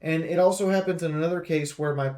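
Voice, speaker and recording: A man with a fairly high voice talks through a game preview. His delivery is casual, like a streamer reviewing for viewers, and he is blunt and emphatic with his opinions. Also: steady tone, quick pace, a little mellow.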